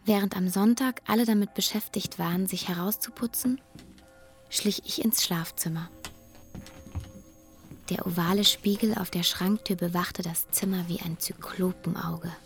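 A woman's voice: fairly low pitch, steady tone, moderate pace, natural voice. An actress playing an earnest young girl who tells her own story in an intimate radio drama. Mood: hushed, wistful. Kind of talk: radio drama narration and dialogue. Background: music.

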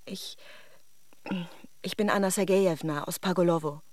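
A woman's voice: on the low side, moderate pace, darkish tone, no echo, lightly acted. An actress playing a reserved, curious Russian married woman, hesitant and thoughtful, in a radio drama. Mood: guarded, amused. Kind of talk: radio drama acting in intimate dialogue and monologue.

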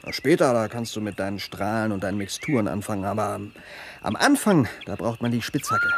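A man playing a gruff, practical workman in a radio play, muttering and halting as he speaks. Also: on the low side, huge pitch swings. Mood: absorbed, gruff. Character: gruff, practical male demolition worker absorbed in work. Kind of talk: naturalistic radio play acting. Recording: noisy outdoor ambience with birdsong.

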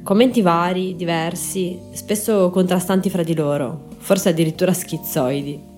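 Female podcast narrator, twenties, gentle mellow timbre, scripted read over quiet music. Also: on the low side, steady tone, moderate pace. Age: 20s. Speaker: podcast host and writer.

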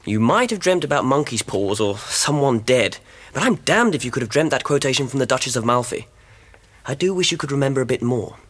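A young man playing an eager, determined newlywed amateur sleuth in a radio drama, with an animated and decisive manner. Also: mid-range voice, fairly quick. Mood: thoughtful. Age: young adult.

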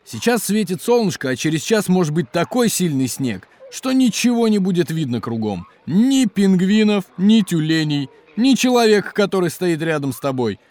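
Hearty, high-pitched polar geologist-cook. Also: male voice, moderate pace, hardly any pauses. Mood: enthusiastic explaining. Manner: animated, chuckling, explanatory, hearty. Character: hospitable, jolly male polar geologist doubling as station cook. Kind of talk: children's educational audio drama.

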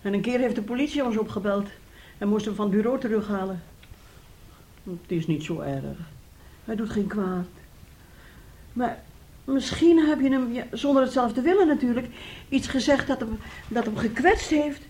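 An actress playing a troubled, soothing aunt in a Dutch radio play, telling things in a halting, emotive way. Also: female voice, a little high, expressive, darkish tone, a little hiss or hum, rough recording. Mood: gentle, resigned. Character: troubled, soothing aunt and mother of a disturbed boy.

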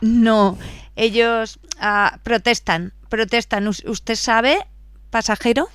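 A woman's voice: on the high side, slow pace, fairly bright tone, smooth, dry recording, studio quality. A woman playing a practical, authoritative crew foreman in a radio drama. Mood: hesitant. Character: female dock crew foreman, firm, practical and commanding.

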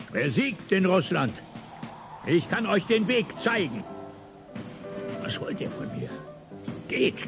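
A bitter, drunken old revolutionary. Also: male voice, high-pitched, vocal fry, exaggerated voice. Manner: declamatory, swinging between mutters and outbursts. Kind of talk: radio play acting. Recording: radio drama with music underneath.

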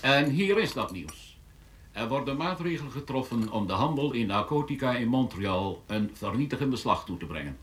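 A man's voice: mid-range voice, big pitch swings, normal speed, background noise, lightly acted. A man playing a formal television announcer and newsreader in a radio play, with grandiose delivery. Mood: solemn. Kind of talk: solemn broadcast news announcing.

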